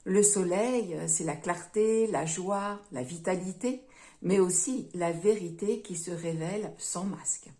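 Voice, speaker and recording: A woman giving a tarot reading to her viewers, speaking gently and unhurriedly, often pausing with hesitations and calling them her friends. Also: on the low side. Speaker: tarot reader.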